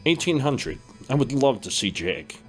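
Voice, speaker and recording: A man's voice: mid-range voice, a little slow. Man playing a reassuring older brother. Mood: warm. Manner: reassuring, steady. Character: calm older-brother dream instructor, reassuring. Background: faint background music.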